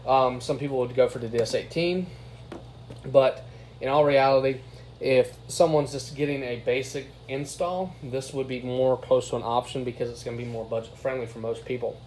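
A man's voice: medium pitch, fairly even intonation, moderate pace, darkish tone. A man giving a casual hands-on product review in an informal, plain-spoken way. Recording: clear background noise, room ambience.

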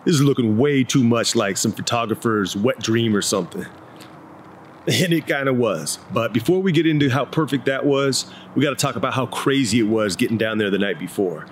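A man's voice: mid-range voice, slight background noise. A man in his thirties, a photography vlogger, narrating his trip in a casual, enthusiastic, slangy way. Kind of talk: upbeat travel vlog narration. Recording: narration under loud music. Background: loud music.

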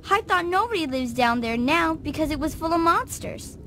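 A woman's voice: high voice, lively intonation, moderate pace, fairly bright tone, slight background noise. A woman playing an innocent, curious, timid little girl in a video game. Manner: wide-eyed, childlike.

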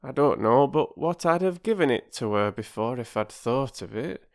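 A generous, sentimental old village woman with homely, old-fashioned country speech, as a male narrator puts her on. She speaks well above the reader's own pitch, rising and falling freely. The tone is warm-hearted and gently fussing. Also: animated, moderate pace. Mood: kindly.

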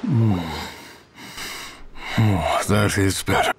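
A man voicing a weary, grateful hunter in a video game, speaking in a halting, earnest way. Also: lowish voice, fairly even intonation, background noise. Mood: weary, relieved.

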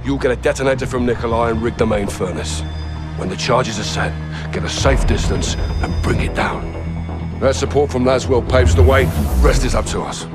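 An actor playing a middle-aged British special forces captain, terse, dry and resolute, in dramatic game dialogue. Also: male voice, mid-range voice, moderate pace, over loud music, close to an everyday voice. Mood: commanding briefing. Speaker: actor in a military game cutscene.

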